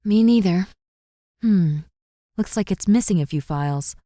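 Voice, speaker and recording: A woman playing a caring, cautious and scholarly young woman in an audio roleplay drama, with a gentle, pensive manner. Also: medium pitch, lively intonation, a little slow, no echo, clean studio sound, natural voice. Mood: curious.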